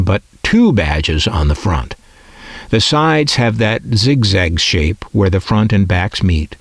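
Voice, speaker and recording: A man's voice: fairly low pitch, no room sound. A man narrating a scripted collector's video as host, with dry, tongue-in-cheek asides.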